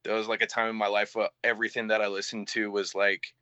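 A young man with a mix of English and Canadian accent, a band vocalist chatting as an interview guest in a halting way, full of fillers and casual swearing, on slightly dull lo-fi audio. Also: lowish voice, level tone, bright, forceful tone, no echo.

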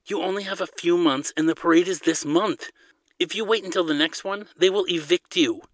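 A man voicing a playful, caring young woman who is gently insistent and firm, as in an audiobook. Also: mid-range voice, a little fast, pitched above the performer's own voice, lightly acted. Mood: pressing. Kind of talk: audiobook character dialogue.

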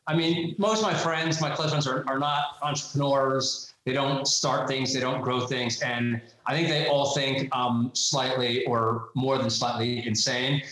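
A man's voice: mid-range voice, fairly even intonation, unhurried, few pauses, a bit bright. A man in his forties with a faint New York accent, a guest entrepreneur answering interview questions in a chatty, candid way. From New York, USA. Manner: chatty, candid, laughs easily. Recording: very echoey room.

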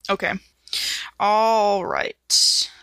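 A woman plays a tabletop game, musing aloud as she plans her character's next step. Her voice is bright and clear at a middle pitch. The recording is dry and close. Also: steady tone, extremely slow, dry recording. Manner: thoughtful, thinks out loud. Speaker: player.

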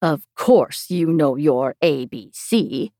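A woman voicing a bossy, brisk queen in a storybook reading. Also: low-pitched, animated, mellow, no room sound, clean studio sound, full character voice. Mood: bossy, testing.

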